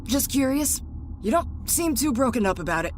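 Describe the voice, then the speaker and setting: Wounded female enemy soldier, highish voice, halting, bitter, strained, swinging intonation, sci-fi audio drama. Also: big pitch swings, slight background noise. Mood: sardonic.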